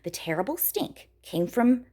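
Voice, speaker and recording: A woman's voice: fairly high pitch, very animated, a little slow, mellow. A woman storyteller reading a rhyming picture book aloud to children in a dramatic, emphatic way.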